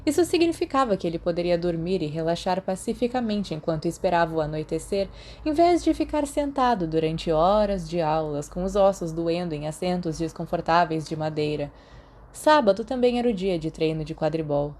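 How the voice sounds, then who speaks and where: A woman's voice: lowish voice, lively intonation, fairly quick, darkish tone, slight background noise. A Brazilian woman reading a story aloud as scripted audiobook narration. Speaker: podfic narrator.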